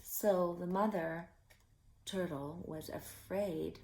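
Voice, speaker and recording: A woman's voice: lowish voice, steady tone, slow, a little mellow, rough recording. A woman storyteller reading a picture book aloud expressively, with simple asides addressed to young children.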